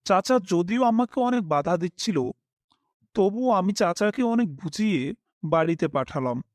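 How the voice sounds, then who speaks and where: A man's voice: sits high, animated, a little slow, darkish tone, no echo, clean studio sound. A young man narrating a scripted horror story in the first person, acting out his polite dialogue expressively.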